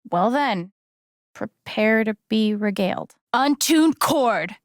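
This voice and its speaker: An actress playing a friendly, wry and earnest young woman in a video game, talking in a casual, gently teasing way. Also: on the high side, expressive, takes it slowly, no room sound, clean studio sound. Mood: playful. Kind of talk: naturalistic video game dialogue acting.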